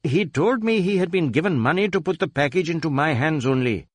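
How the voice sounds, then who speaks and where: A man's voice: on the high side, expressive, brisk, no echo, clean studio sound, pitched above the performer's own voice. A man voicing an indignant, self-important Indian company proprietor in an audiobook detective story. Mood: self-satisfied. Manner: indignant, emphatic. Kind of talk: audiobook character acting.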